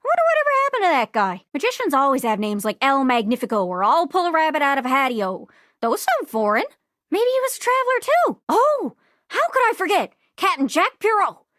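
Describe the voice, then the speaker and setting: A woman voicing an excitable, scatterbrained globe character in a video game, with a folksy Newfoundland accent and a bubbly, exclamatory manner. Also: high voice, a little fast, no echo, clean studio sound. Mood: chatty, thoughtful, then eager, helpful. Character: excitable, scatterbrained living globe longing to travel.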